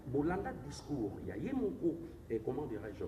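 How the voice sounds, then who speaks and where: A man's voice: mid-range voice, huge pitch swings, fairly quick, recorded from a distance. Insistent Congolese man, echoey noisy recording. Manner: insistent, argumentative, code-switching. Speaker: interviewed politician, presidential candidate. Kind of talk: heated political interview.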